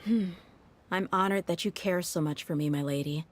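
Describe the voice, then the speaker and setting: A woman playing a confident, easygoing young desert mercenary in a video game, speaking with relaxed assurance. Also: fairly low pitch, normal speed, slight background noise, natural voice. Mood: assured, warm. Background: soft game music.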